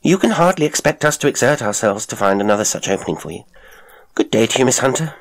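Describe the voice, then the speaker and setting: A man's voice: lowish voice, wildly swooping pitch, fast talker, vocal fry, voice pushed up, heavily acted. A man voicing a curt, annoyed woman who manages an agency in an audiobook, speaking sharply and dismissively. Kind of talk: audiobook character acting.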